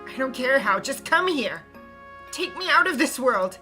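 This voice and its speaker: A woman voicing a frantic young shrine girl who calls out loudly and urgently. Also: high-pitched, very animated, a little slow, music in the background. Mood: desperate. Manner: loud, urgent calling. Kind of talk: story reading with voiced characters.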